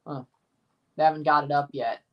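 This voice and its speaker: A man's voice: fairly high pitch, steady tone, moderate pace, distant mic, dull audio. A man with a Southern American accent chatting casually and rambling through a live match watchalong, with some mild swearing. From United States. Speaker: streamer.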